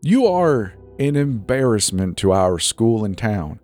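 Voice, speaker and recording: Man voicing a scornful, high-pitched woman principal. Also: very animated, moderate pace, darkish tone, faint music behind. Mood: angry. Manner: stern, spitting, scolding.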